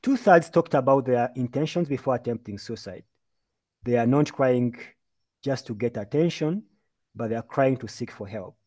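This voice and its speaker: Guy from uganda lecturing on a video call, mellow dark voice, earnest and emphatic. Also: medium pitch, normal speed. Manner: earnest, emphatic, lecturing with lists and repeated phrases. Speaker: mental health speaker. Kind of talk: webinar presentation.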